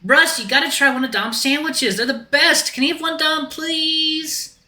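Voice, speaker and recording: A man's voice: very high voice, fairly even intonation, moderate pace, sharp tone, echoey room, pitched above the performer's own voice, full character voice. A man voicing an eager, friendly young male animal character in a story, with a bubbly manner. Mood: eager, pleading.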